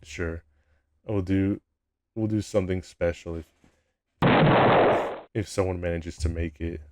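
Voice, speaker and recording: A young man with a low voice, chatting casually with his live-stream chat in a laid-back, rambling way at a desk mic at home. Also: fairly even intonation, a little slow, warm tone, a little hiss or hum.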